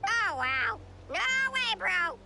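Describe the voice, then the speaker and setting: A woman voicing a cheeky juvenile dinosaur-bird in a video game, squawking snappy parroted retorts in a squeaky voice. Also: sing-song, slow, heavy background noise, full character voice. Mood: sassy.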